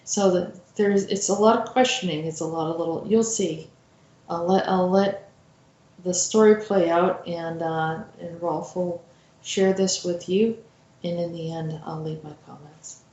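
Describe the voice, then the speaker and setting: Slow, echoey woman speaking reflectively. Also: fairly low pitch, steady tone, a little mellow, dull audio. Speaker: host introducing a story. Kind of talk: informal spoken commentary. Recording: roomy, echoing voice recording.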